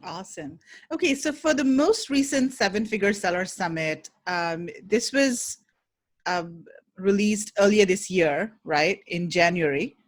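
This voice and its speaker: A woman's voice: mid-range voice, unhurried. A woman hosting a podcast, introducing her guest and asking questions in a friendly, upbeat way. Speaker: podcast host. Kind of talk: podcast interview.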